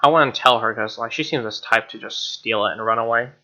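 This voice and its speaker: A man's voice: medium pitch, quick pace, sharp tone, dull audio. A young man reading a visual novel's narration aloud with casual asides as he plays. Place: small home room, indoors. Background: game music.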